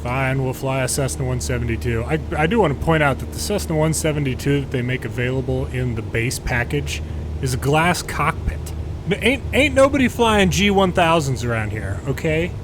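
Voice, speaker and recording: Sardonic American man over clear background noise. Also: medium pitch, moderate pace. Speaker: gaming video host, pilot and former air traffic controller. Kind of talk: casual game commentary. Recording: steady background hum and noise.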